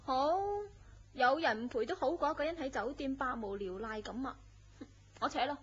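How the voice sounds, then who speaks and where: A woman's voice: sits high, normal speed, breathy edge. An actress playing a pert young woman in a Hong Kong Cantonese radio drama. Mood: pouting. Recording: muffled lo-fi audio with faint noise.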